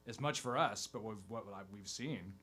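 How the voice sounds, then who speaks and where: A man's voice: lowish voice, moderate pace, a bit bright. A man in casual live radio chat, enthusiastic and rambling, with many restarts. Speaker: band member.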